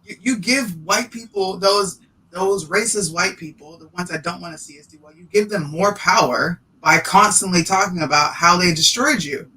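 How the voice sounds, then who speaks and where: A man's voice: high voice, moderate pace, bright, forceful tone, poor audio. A man who hosts a podcast, making his points emphatically in a casual discussion. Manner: emphatic, argumentative. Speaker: podcaster guest.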